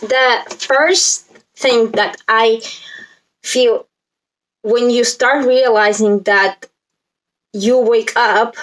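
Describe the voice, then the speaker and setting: Slow, higher-pitched Latvian-accented young woman. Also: steady tone, low-quality recording. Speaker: spiritual self-help vlogger. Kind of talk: casual talk to camera, explaining a list.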